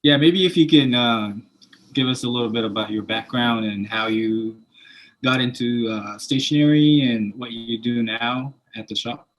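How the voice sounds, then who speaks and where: A man hosting a casual interview, speaking in halting phrases with frequent pauses. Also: medium pitch, low-quality recording. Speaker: interview host.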